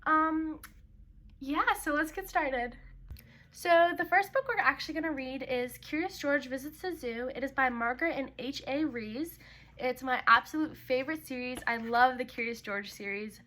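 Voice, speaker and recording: A woman's voice: sits high, normal speed, sharp tone. A young woman hosting a read-aloud for young children, speaking in an informal, chatty way. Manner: informal, chatty, addressing kids as "you guys". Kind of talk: casual intro talk and storybook read-aloud for young kids.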